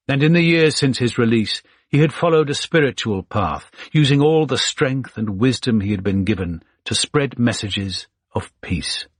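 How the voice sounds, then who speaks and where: A male audiobook narrator reading a thriller in a measured, even storytelling manner. His voice is low and husky. The sound is dry and close. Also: dry recording.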